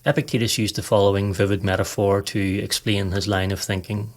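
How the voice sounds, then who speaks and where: A man narrating a scripted guided meditation in a calm, measured, instructive way. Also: fairly low pitch. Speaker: podcast host and meditation guide.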